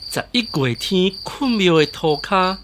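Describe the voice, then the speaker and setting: An actor with a Taiwanese accent playing a solicitous, generous village gentleman in a radio drama. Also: high-pitched, lively intonation, unhurried, a little hiss or hum. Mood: hospitable. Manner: solicitous, courteous. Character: generous, hospitable village gentleman, eager host.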